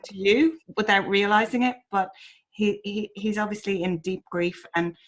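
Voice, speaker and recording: A woman's voice: mid-range voice, moderate pace, background noise. Woman, webinar host, encouraging, supportive, video call. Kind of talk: live webinar.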